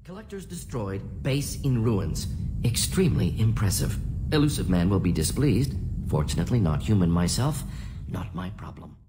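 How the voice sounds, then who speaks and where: A man's voice: fairly low pitch, sing-song, normal speed, noisy background. An actor playing a blunt, pragmatic male alien scientist who talks in telegraphic, clipped sentences with words dropped. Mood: wry, satisfied.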